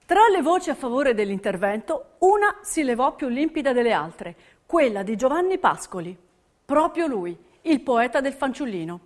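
A woman performs scripted narration for the stage. Her voice sits on the high side and moves with lots of melodic swing. Her storytelling is emphatic and dramatic. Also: very animated, moderate pace.

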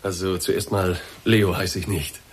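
A low-voiced, formal, insistent boss. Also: male voice, level tone, a little hiss or hum. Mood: polite, explanatory. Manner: polite, measured, insistent. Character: formal, persistent male boss exposing her story. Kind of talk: German radio play acting.

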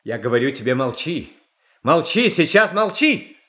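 Gentle, anxious young tsar, high-pitched. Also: male voice, very animated. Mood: indignant. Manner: halting, earnest, repeats himself. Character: gentle, anxious, kind-hearted young tsar, childlike and pleading. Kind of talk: Russian stage tragedy as radio play.

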